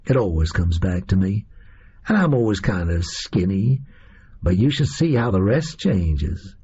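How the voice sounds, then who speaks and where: A man's voice: low voice, sing-song, measured pace, warm tone, slightly rough, lo-fi sound, heavily acted. A man voicing a brash, gleeful schemer in an audiobook story, breezy and slangy in manner. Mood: cocky.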